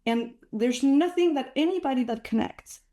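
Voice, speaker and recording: A woman's voice: high-pitched, moderate pace, warm tone. A woman from Chile giving a conversational conference talk, full of "like" and "uh" fillers. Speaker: invited speaker.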